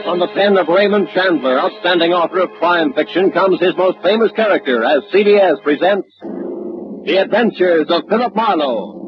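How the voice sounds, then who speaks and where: A male old-time radio announcer with a high, dramatic delivery. He declaims his script over prominent music, in a muffled vintage recording. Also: normal speed.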